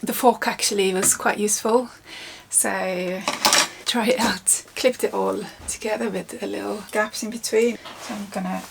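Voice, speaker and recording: A woman's voice: mid-range voice, fairly even intonation, normal speed, vocal fry, heavy background noise. A woman hosting a craft tutorial, narrating casually and informally as if thinking aloud while she works. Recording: music behind the voice. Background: background music.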